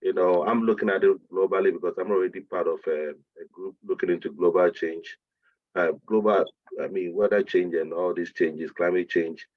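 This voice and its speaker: A man with a Ghanaian accent and a very low voice makes an earnest, measured point in a group discussion. He sounds thin and phone-like, recorded dry with no room sound. He often corrects himself mid-sentence. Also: fairly even intonation, no room sound. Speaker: meeting participant. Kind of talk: discussion contribution.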